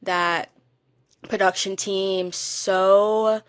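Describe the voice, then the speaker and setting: A woman's voice: medium pitch, steady tone, very slow, smooth, no room sound. A young woman co-hosting a podcast in casual chat, talking in a gushing, emphatic fan style. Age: young adult. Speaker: podcast co-host.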